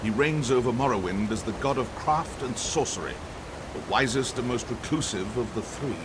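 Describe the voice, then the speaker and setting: A man's voice: medium pitch, expressive, normal speed, noisy background, close to an everyday voice. A man playing an arrogant, cryptic elven sorcerer scholar in a video game, theatrical, condescending and dryly sardonic. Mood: sardonic, musing. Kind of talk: scripted video game dialogue. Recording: music under the voice.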